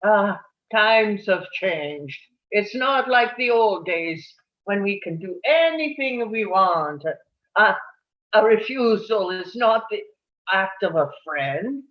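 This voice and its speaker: A man playing a smooth-talking mafia don in a live script read, measured and deliberate. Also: high voice, expressive, echoey room, dull audio. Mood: pressing.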